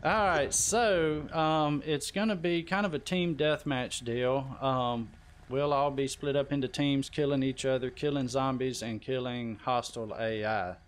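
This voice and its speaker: A man hosting a casual gaming livestream, chatting informally and giving shout-outs to viewers by name. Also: medium pitch, steady tone, unhurried. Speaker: live stream host.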